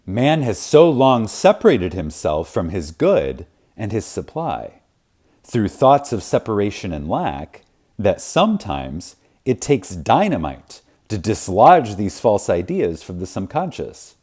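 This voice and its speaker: A man with a dark, relaxed voice reads a spiritual text as an audiobook narrator. His delivery is very slow and reverent, with animated intonation. He leans on key words and leaves deliberate pauses for effect. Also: fairly low pitch, slightly rough. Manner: reverent, emphatic, dramatic pauses. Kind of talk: scripted audiobook reading of spiritual teaching.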